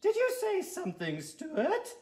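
Man putting on a very high, prim lady teacher. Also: huge pitch swings, a little slow, darkish tone, full character voice. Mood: prim, questioning. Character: polite, prim female geography teacher. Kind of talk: children's storybook character voice.

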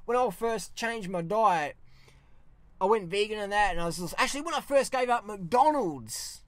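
A man's voice: high-pitched, moderate pace, no echo. A young Australian man ranting bluntly and swearing often, talking to a camera on the dashboard of his parked car. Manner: blunt, swears a lot, animated hand gestures. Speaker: vlogger. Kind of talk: casual ranting vlog.

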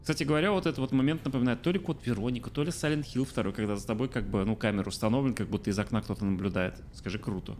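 A man's voice: medium pitch, rapid-fire, few pauses, darkish tone, slight background noise. A man in his thirties streaming a game from a small room, chatting casually, thinking aloud and answering his chat. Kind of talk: casual let's-play commentary. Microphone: studio mic on arm by face.

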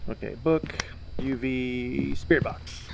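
A man's voice: mid-range voice, slow pace. Man streaming a game, noisy background. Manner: informal, profane, jokey. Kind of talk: casual chat while playing a horror game. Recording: clear background game noise.

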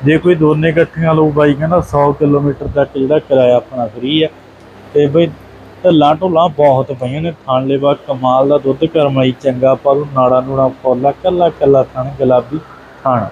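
A man's voice: medium pitch, steady tone, normal speed, a little mellow, lo-fi sound, slight background noise. A young man hosting a dairy channel, walking through cows for sale and praising them in rhyming, doubled-up lists. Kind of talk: livestock sale walkthrough. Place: open cattle shed outdoors.

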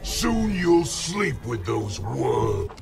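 An actor playing a brutal, boastful warlord emperor in a video game, gravelly, taunting and domineering. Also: male voice, mid-range voice, sing-song, very slow, heavily acted. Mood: mocking. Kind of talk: video game villain acting. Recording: music and game effects behind.

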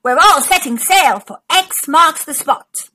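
Woman as bold, high-voiced storybook pirate captain. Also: animated, unhurried, bright, forceful tone, heavily acted. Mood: eager. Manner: emphatic, commanding exclamations. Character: bold, excitable male pirate captain in a children's book.

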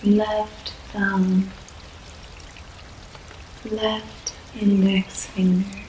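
A woman leading a guided yoga nidra relaxation in a soft, soothing voice, with long pauses between short phrases. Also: mid-range voice, level tone. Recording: echoey room, faint background noise.

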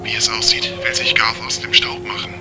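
Actor as male talking car computer, measured, informative, watchful, audio drama, loud music and engines behind. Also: medium pitch, monotone, lightly acted. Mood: calm, alert.

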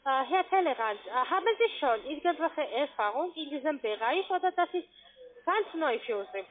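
A woman with a non-native foreign accent, giving a formal scripted sales pitch with frequent short tag questions. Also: expressive, normal speed. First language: not German. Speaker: call centre sales agent. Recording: narrow-band phone line.

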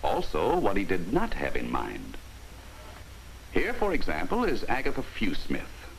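Deep, animated American narrator man. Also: rough recording. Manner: wry, folksy, emphatic. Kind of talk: 1950s training film narration. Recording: hissy 1950s film soundtrack.

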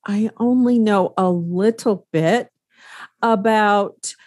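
A middle-aged woman hosting a podcast over a video call, enthusiastic and encouraging as she asks questions. Her pitch sits in the usual middle range for a woman. The sound is dry and close. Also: slow pace, a little mellow, no echo. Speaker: podcast host. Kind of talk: casual interview on a video call.

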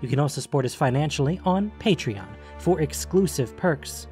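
A man's voice: a little high, expressive, normal speed, darkish tone, faint music behind. A man reading scripted narration for a fantasy audio drama. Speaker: narrator and podcast host.

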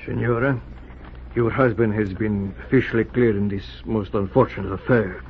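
Actor as a low-voiced, courteous mayor. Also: male voice, fairly even intonation, moderate pace, close to an everyday voice. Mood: solemn courtesy. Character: formal, courteous Mexican town mayor. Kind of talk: 1950s radio drama acting. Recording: muffled old radio recording, faint noise.

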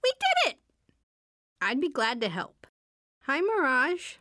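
A woman's voice: sits high, wildly swooping pitch, takes it slowly, bright, forceful tone, no echo, clean studio sound, heavily acted. A woman voicing a shy but upbeat teenage superhero girl in short video game lines, with casual quips and exclamations. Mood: excited, cheerful, then subdued, awkward.

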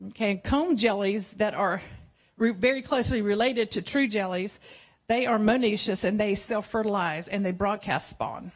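An older woman gives a relaxed science cafe lecture to a seated crowd. She talks in a chatty, playful way with frequent self-corrections. Her voice comes through a handheld microphone in a large museum hall. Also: mid-range voice. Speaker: marine educator giving a public science talk.

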